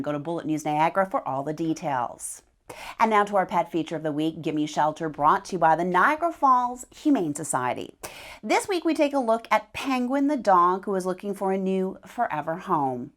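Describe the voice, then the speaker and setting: A woman presenting scripted local news as an anchor. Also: mid-range voice.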